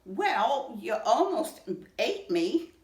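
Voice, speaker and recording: A woman voicing a small, indignant male ant in a storybook, brisk and scolding but fair. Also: on the high side, big pitch swings, roomy sound, recorded from a distance, voice pushed up, heavily acted.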